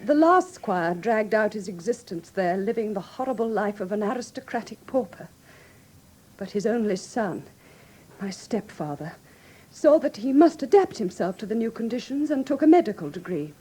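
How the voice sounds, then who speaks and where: A woman with an English received pronunciation accent playing a frightened, grieving young gentlewoman who speaks formally and earnestly in a classic radio drama. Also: medium pitch, lively intonation, moderate pace, husky. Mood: grave, troubled. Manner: formal, earnest, precise storytelling.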